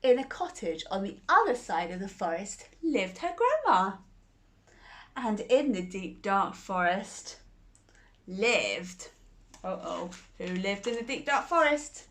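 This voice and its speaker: A woman hosting a bedtime story show for children, reading aloud in a playful, animated way and laughing often. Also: mid-range voice, slow. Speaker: storyteller host.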